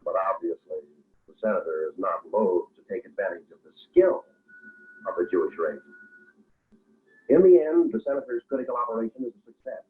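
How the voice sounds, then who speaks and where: An actor playing a grave, ironic narrator of a political exposé, solemn and theatrical in 1940s radio style. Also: male voice, lowish voice, natural voice. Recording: tinny, narrow old radio recording.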